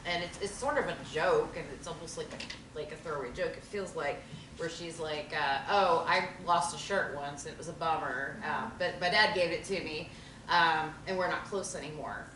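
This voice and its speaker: A woman's voice: sits low, normal speed, bright, forceful tone. A woman who acted in the reading thoughtfully shares her notes in a casual discussion, searching for words with many fillers. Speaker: actor who read stage directions. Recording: echoey room, distant mic, noisy.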